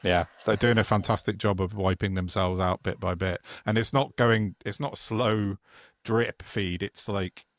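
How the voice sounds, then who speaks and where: A man's voice: fairly low pitch, fairly even intonation, unhurried, few pauses, no echo. A man co-hosting a political podcast, chatting casually with frequent restarts and wry remarks. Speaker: podcast co-host.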